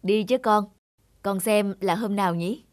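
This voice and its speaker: A woman voicing a gentle, plain-spoken working father, middle-aged and humble, in an animated moral tale. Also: mid-range voice, unhurried, dry recording, studio quality, close to an everyday voice. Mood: gentle interest. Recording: clear music behind the voice.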